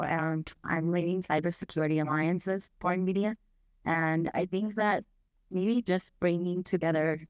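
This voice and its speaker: A woman's voice: lowish voice, no echo, low-quality recording. A woman speaking as a panelist who answers in a thoughtful, somewhat hesitant way.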